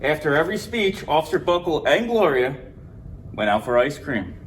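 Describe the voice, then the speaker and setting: A man's voice: a little high, big pitch swings, normal speed. A man reading a children's picture book aloud as narration. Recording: clear background noise.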